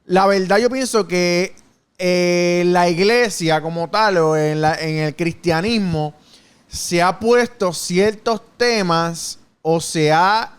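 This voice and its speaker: Man, high pitch, smooth clear tone, earnest, halting casual talk. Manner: earnest, thinks aloud with pauses and restarts. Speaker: podcast co-host. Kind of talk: casual chat.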